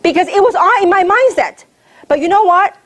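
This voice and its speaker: A middle-aged woman from Hong Kong with a high-pitched voice, delivering a motivational sales training talk. She drives each point home with repetition and emphasis, and often puts rhetorical questions to her listeners. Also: expressive, brisk. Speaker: network marketing trainer giving a seminar.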